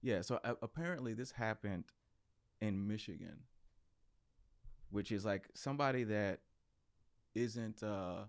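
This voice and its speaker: A man's voice: on the low side, measured pace, pauses often, no room sound. Male podcast host, mid-range voice, dry studio tone; loose and jokey. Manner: restarts, jokey, asks follow-up questions. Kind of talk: casual podcast interview.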